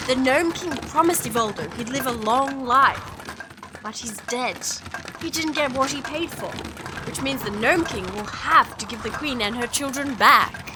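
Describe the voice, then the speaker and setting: A woman's voice: high voice, moderate pace, background noise, lightly acted. A woman playing an earnest, idealistic and determined young princess in a fantasy audio drama, with an impatient edge. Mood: earnest, optimistic. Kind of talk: full-cast audio drama. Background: music.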